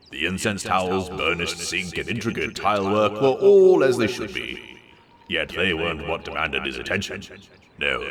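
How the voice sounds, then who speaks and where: Deep-voiced, highly animated male storyteller. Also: distant mic. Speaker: story reader. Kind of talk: theatrical comic story narration.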